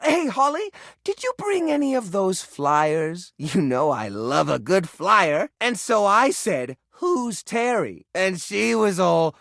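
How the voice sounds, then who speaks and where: A man voicing a cheerful, fussy, nerdy household junk drawer in a video game, with enthusiastic delivery and mild, squeaky-clean exclamations. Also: big pitch swings, slow pace, vocal fry, fairly bright tone, no echo, studio quality, full character voice. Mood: chatty, flirty. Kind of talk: animated video game character voice acting.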